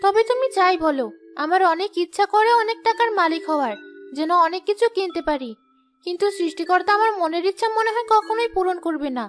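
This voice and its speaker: Very high, discontented young wife. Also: female voice, animated, fast, faint music behind. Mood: wistful. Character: discontented young village wife longing for riches. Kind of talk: cartoon dialogue.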